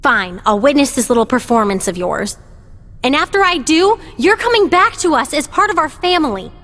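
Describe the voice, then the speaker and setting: A woman's voice: sits high, very animated, normal speed, fairly bright tone. An actress playing a determined young woman in a video game, earnest and assertive, out to prove she has grown up. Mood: defiant. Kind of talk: English video game dub acting. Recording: echoey hall reverb.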